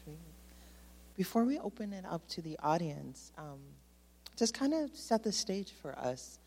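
A woman moderating a live talk, upbeat and brisk, with a voice she calls loud. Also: lowish voice, big pitch swings, creaky, slight background noise. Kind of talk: event moderation. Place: auditorium. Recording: long hall reverb.

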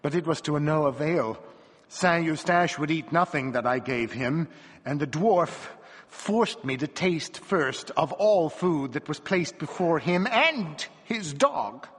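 A man's voice: fairly high pitch, animated, takes it slowly, breathy edge, exaggerated voice. A man voicing a gaunt, weary peasant woman who laments her lot in a plaintive, dramatic way, as part of a story reading. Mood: weary, lamenting. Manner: lamenting, dramatic, sighing old-fashioned speech. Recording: reverberant hall with long echo.

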